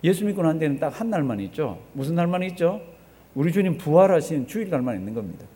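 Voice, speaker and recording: A man preaches to his congregation in a soft-edged, mellow voice that sits a little higher than average. He speaks conversationally as a pastor, posing questions to his listeners and repeating phrases to drive them home. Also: big pitch swings, fast. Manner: conversational, asks the congregation rhetorical questions and has them respond. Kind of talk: sermon.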